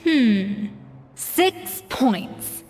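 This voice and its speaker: A woman's voice: a little high, expressive, very slow, big-room sound, loud music behind the voice. A woman voicing a proud, exacting young gourmet chef in an anime-style video game, with a blunt, theatrical, haughty manner. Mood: scathing.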